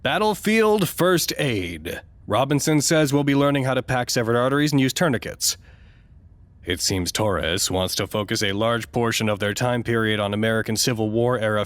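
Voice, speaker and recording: An actor playing a formal, precise male staffer who narrates a log in a measured, wry, dryly curious way, as in an audio drama. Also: mid-range voice, dry recording, close to an everyday voice. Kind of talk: scripted audio drama monologue.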